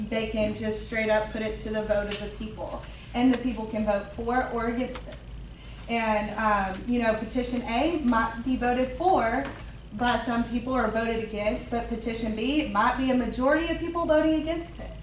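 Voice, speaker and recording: A woman's voice: fairly high pitch, a little hiss or hum. A woman giving public comment at a council meeting in a casual, conversational way. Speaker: citizen speaker. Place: council chamber. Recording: echoey room, far mic.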